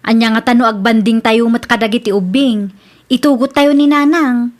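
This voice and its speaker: A woman's voice: fairly high pitch, steady tone, normal speed, close to an everyday voice. A woman with an Ilocano Filipino accent playing a nagging younger wife and mother in a radio comedy drama. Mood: nagging, pleading.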